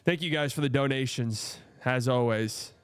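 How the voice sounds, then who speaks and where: Smooth-voiced man hosting a game stream. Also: medium pitch, normal speed. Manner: blunt, profane, reads and answers chat. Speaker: streamer hosting a watch party. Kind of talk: casual live game-watching chat.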